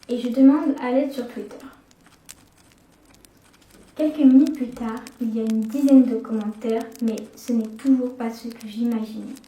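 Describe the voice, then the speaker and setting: A young woman narrating a first-person horror story, slowing down at the scary moments. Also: on the high side, warm tone. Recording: echoey room, distant from the mic.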